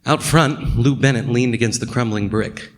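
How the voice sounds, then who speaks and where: A man's voice: medium pitch, normal speed, close to an everyday voice. An actor playing a world-weary, sardonic male narrator, delivering scripted noir narration in a radio play. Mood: wry, brooding.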